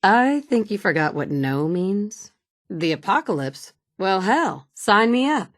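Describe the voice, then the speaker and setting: A woman's voice: medium pitch, very animated, measured pace, no echo. A woman voicing the player character in a video game, a capable survivor who talks in a direct, firm way. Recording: dry studio recording.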